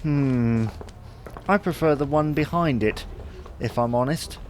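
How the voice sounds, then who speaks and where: A man's voice: medium pitch, a little slow, a little mellow, slight background noise, natural voice. A man playing a reluctant, stubborn husband, grumbling in dry, mild protest in a domestic comedy audio drama. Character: reluctant, stubborn, henpecked husband with poor taste.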